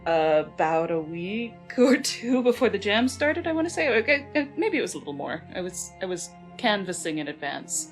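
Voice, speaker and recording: A woman's voice: mid-range voice, sing-song, normal speed, hardly any pauses, soft background music. A woman chatting casually as a panel guest, telling anecdotes in a playful, jokey way. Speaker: game writer, panel guest.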